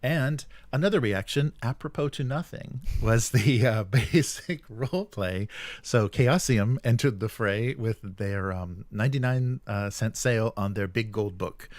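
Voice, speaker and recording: A man co-hosting a gaming news show, casually running through items in a halting, hesitant way. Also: medium pitch, dry recording. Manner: halting, hesitant delivery while presenting. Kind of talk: casual news rundown and chat.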